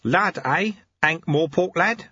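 Amiable little old sweeper-monk, unhurried and teasing, put on by a male narrator; slightly husky, dry sound. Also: a little high, lively intonation, pushed and bright, no room sound, lo-fi sound, voice pushed up, full character voice. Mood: amused.

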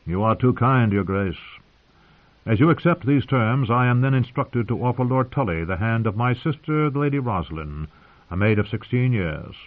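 A man's voice: mid-range voice, expressive, normal speed, phone-quality sound, pitched above the performer's own voice, natural voice. Man reading as sly fantasy envoy, formal, polite, persuasive, storybook nobleman, band-limited radio-like sound. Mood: courteous, measured. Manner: formal, diplomatic, politely insistent.

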